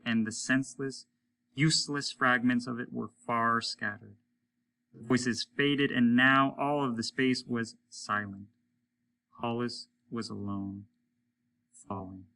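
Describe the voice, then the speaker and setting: A man reading a short story aloud as dramatic narration, measured and solemn. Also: medium pitch, steady tone, bright, forceful tone, a little hiss or hum. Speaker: audiobook reader, teacher.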